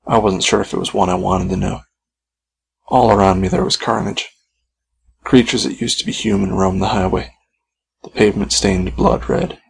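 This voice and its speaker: Low-pitched man narrating horror fiction. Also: gravelly, slightly rough, low-quality recording. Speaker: author reading own short story. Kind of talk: scripted first-person horror narration.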